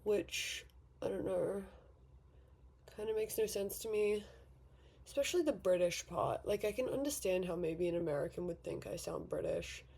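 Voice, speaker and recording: A woman's voice: medium pitch, expressive, normal speed, vocal fry, mellow, slightly rough. An eighteen-year-old woman from Victoria with an Australian accent, chatting casually and rambling a little while she does her makeup for a vlog. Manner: chatty, rambling, self-deprecating. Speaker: vlogger. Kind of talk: casual get-ready-with-me chat. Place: bedroom vanity at home.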